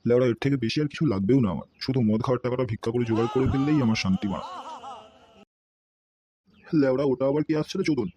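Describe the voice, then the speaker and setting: A man's voice: mid-range voice, rapid-fire, long pauses, dark tone, a little hiss or hum, lightly acted. A man voicing a middle-aged drunkard beggar in a comedy cartoon, rambling and constantly swearing. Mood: contented, then grumbling. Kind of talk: amateur comedy cartoon voice-over. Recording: music behind the voice.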